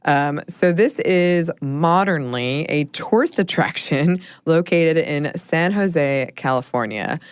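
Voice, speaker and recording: A woman co-hosting a podcast in casual chat, laughing often and sometimes dropping to a low murmur. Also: animated, slow, hardly any pauses, smooth, no room sound, studio quality. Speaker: podcast co-host.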